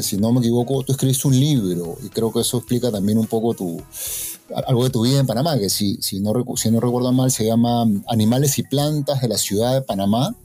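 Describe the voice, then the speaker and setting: Male host with a husky, mellow voice. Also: medium pitch. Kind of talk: interview questions.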